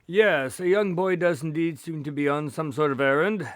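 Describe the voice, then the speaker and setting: An actor in a radio drama playing a polite, inquisitive police consultant, courteous and measured in his questions and narration. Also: on the high side, dry recording, studio quality, close to an everyday voice. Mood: politely evasive.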